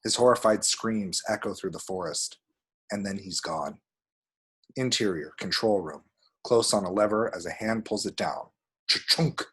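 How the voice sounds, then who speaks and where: Man, low husky voice, screenplay narrator, vivid table-read storytelling. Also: a bit bright. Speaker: reader of action descriptions.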